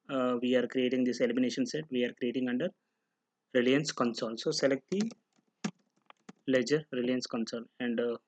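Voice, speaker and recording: A man narrates a software tutorial as an instructor, explaining step by step and often posing questions that he then answers. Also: medium pitch. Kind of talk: screen-recorded tutorial narration. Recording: noticeable background noise with clicking.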